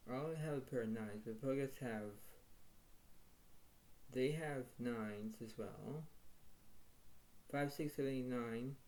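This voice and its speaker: A man with a soft, quiet voice commenting on his own poker hands in a halting way, repeating himself and saying "wow". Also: medium pitch, low-quality recording. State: slight tickle in throat. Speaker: poker video host. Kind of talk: casual play-by-play commentary.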